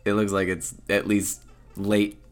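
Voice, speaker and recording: A young man hosting a podcast in casual chat, with a booming voice and frequent laughter. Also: flat delivery, unhurried, soft background music. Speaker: podcast host.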